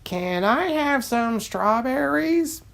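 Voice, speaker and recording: A woman's voice: sits high, lively intonation, takes it slowly, gravelly, voice pushed up, heavily acted. A woman voicing a persistent, cheeky male cartoon duck in a dramatic story reading. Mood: hopeful.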